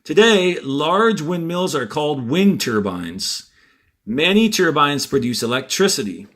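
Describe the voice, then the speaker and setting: A man who teaches fourth grade, reading a textbook aloud with deliberate emphasis on key words and short instructional asides. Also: fairly high pitch, sing-song, fairly bright tone.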